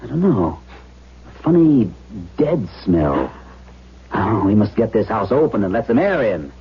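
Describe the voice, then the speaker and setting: A man acting a troubled, sorrowful character with halting, dramatic delivery in a 1970s radio drama. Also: fairly low pitch, very animated, husky, exaggerated voice. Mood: uneasy, sorrowful. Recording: dull lo-fi 1970s radio broadcast.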